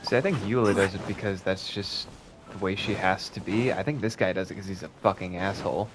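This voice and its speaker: A man streaming a game who mocks the characters in a sarcastic, profane, deadpan way. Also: sits low, a little fast, noisy background. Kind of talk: casual let's-play commentary. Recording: voice over loud game music.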